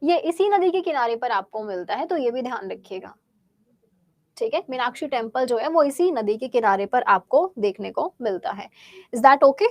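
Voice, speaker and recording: A woman teaching a live exam-prep quiz class with a Bihari Hindi accent, chatty and asking questions she then answers, her throat sore and cleared often. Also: a little high, expressive, normal speed, no room sound.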